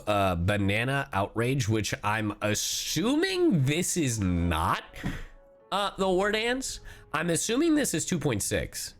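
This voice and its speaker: A man streaming a video game live, chatting casually in an animated, exclamatory way with some swearing. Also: lowish voice. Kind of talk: casual livestream chat and game reactions.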